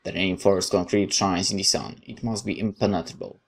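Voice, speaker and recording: A man's voice: sits low, steady tone, fairly quick, vocal fry, husky. A man reading a visual novel aloud as narration, dropping in casual asides of his own.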